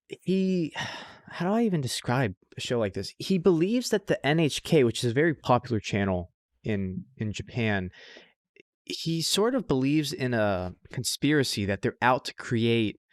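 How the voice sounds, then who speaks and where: A man's voice: medium pitch, smooth, no room sound, clean studio sound. A man hosting a podcast, talking casually and informally in a rambling way, with some casual swearing. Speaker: anime podcast host. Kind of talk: casual podcast chat, reviewing shows.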